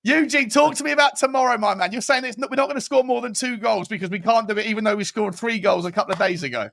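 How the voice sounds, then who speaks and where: A man's voice: sits high, fast talker, creaky, fairly bright tone. A man hosting a casual football talk show, matey and teasing, repeating phrases for emphasis. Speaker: show host. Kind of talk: casual bantering chat.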